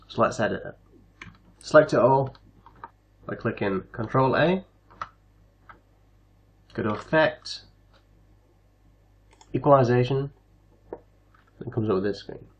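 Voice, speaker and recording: A man hosting a casual software tutorial, explaining things step by step. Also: medium pitch, vocal fry, highs cut off. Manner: step-by-step instructional. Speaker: tutorial host.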